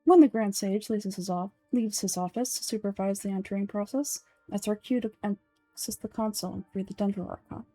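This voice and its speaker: A woman voicing a calm, aloof young male scholar with a deadpan, measured delivery in a fantasy game. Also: medium pitch, no echo, soft background music, natural voice. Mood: matter-of-fact.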